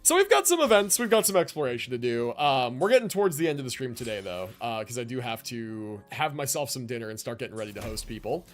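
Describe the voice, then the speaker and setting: A man's voice: mid-range voice, very animated, fast talker, few pauses. A man hosting a game livestream with casual, slangy, enthusiastic commentary and the odd swear. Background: video game music and character voices.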